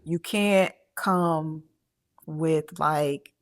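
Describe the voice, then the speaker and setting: Low-pitched woman, unhurried, halting delivery full of "um"s; casual podcast co-host, middle-aged. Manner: halting, many fillers and restarts. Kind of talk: casual chat.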